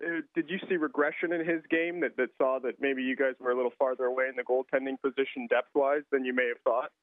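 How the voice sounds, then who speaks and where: A man's voice: medium pitch, steady tone, quick pace, no room sound. A man, a sports reporter, putting questions on a press conference call over the telephone. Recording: dry, narrow phone line.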